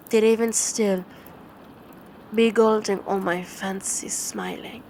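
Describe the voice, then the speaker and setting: A woman reading dramatic poetry as a sleep-aid ASMR narration, in a measured, theatrical way with halting stumbles and repeated words. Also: mid-range voice, a little hiss or hum.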